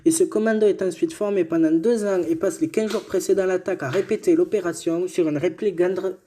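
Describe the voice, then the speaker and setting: A man's voice: high voice, steady tone, brisk, dark tone, slight background noise. A man casually reading history notes aloud as co-host, stumbling, correcting himself and joking aside.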